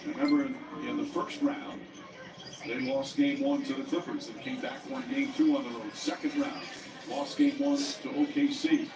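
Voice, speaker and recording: A man calling a live basketball game as play-by-play commentator, jumping up in pitch on big plays. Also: gravelly. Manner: animated, with sudden jumps up in pitch on big plays. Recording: broadcast audio played in an echoey room, mic far off, music over it. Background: music playing loud.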